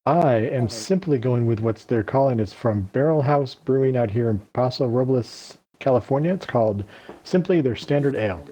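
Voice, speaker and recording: Male guest, wry and unhurried, mellow husky tone. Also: medium pitch, expressive, low-quality recording. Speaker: guest on a gaming stream. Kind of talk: casual gaming chat.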